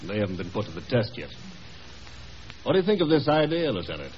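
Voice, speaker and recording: An actor playing a stern, curt army colonel with commanding authority in a 1950s radio drama. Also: male voice, on the low side, sing-song, fast, darkish tone, slight background noise. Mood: brisk, businesslike. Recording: muffled old 1950s radio broadcast.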